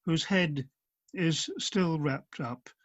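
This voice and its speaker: A man's voice: fairly high pitch, drawn-out words, noisy background. A man narrating stage directions from a script for a radio play.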